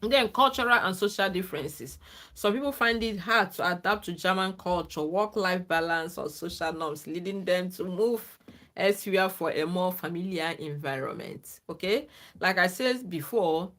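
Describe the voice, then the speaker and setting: A woman's voice: on the low side, moderate pace, fairly bright tone. A woman, not a native English speaker, hosting a livestream and giving earnest, casual advice to viewers, often asking "okay?" to check they follow. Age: adult, former lecturer. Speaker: livestream host.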